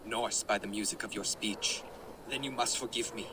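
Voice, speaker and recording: A man's voice: on the low side, fairly even intonation, normal speed, lightly acted. A man playing a cocky, bawdy young Danish captain in a video game, ambient noise behind. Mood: urgent. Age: young adult. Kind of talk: dramatic video game dialogue.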